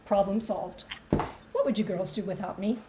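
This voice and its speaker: A woman's voice: mid-range voice, moderate pace, background noise, natural voice. A woman acting a blunt, doting older mother and grandmother at a screenplay table read, folksy and bossy. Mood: brisk, capable.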